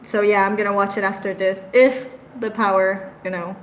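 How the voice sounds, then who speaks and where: A young woman from Venezuela with a Venezuelan accent, whose first language is Spanish, chatting casually with her live-stream audience and laughing often, her voice trailing off softly. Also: medium pitch, flat delivery, normal speed, a little hiss or hum, poor audio. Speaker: streamer answering live chat.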